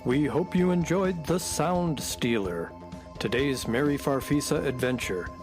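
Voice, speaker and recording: Male announcer, scripted credits, lively intonation, mid-range voice, music behind. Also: mid-range voice, unhurried.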